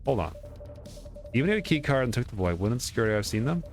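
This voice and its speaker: A man's voice: mid-range voice, big pitch swings, rapid-fire, soft background music. A man reading video game dialogue aloud as he plays, stumbling now and then and correcting himself. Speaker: let's play streamer.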